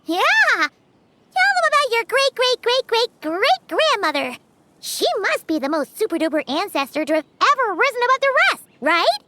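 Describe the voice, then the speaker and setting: A woman voicing a tiny, excitable, childlike floating companion in a video game, with a squeaky voice and cute exclamatory phrasing. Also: moderate pace, slight background noise, exaggerated voice. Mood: excited. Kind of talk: video game character acting. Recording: loud music behind the voice. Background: game music.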